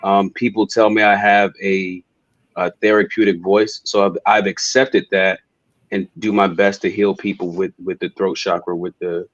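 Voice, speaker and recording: A man in a casual spiritual discussion, talking calmly and measured with frequent pauses. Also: low-pitched, no room sound, lo-fi sound. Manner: calm, measured, pausing with ums.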